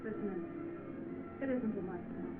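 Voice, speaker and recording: A woman's voice: medium pitch, a little slow, phone-quality sound, over loud music, natural voice. A woman playing a self-sacrificing young sweetheart in an old film melodrama, on a noisy, thin soundtrack. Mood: sad, resigned.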